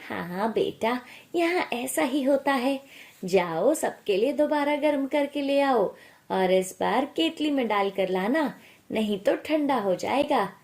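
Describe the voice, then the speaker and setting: A woman's voice: sits high, animated, takes it slowly, a little mellow, exaggerated voice. A woman voicing a kindly middle-aged mother-in-law in a story, patient and reassuring. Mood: instructing. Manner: patient, instructing. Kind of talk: acted storytelling dialogue.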